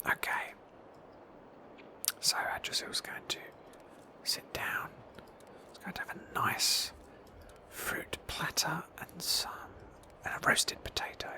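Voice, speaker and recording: A woman whispering gently as she narrates an ASMR roleplay of a video game in a storytelling manner. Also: takes it slowly, long pauses. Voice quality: breathy whisper. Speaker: host of a roleplay game playthrough.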